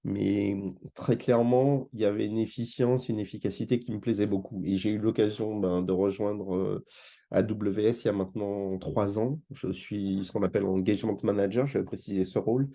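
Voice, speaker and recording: A man's voice: on the low side, fairly even intonation, normal speed, gravelly, warm tone. A man from Martinique giving an informal conference presentation as a guest speaker, explaining in a hesitant way with repeated words. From Martinique, France. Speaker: engagement manager, guest speaker.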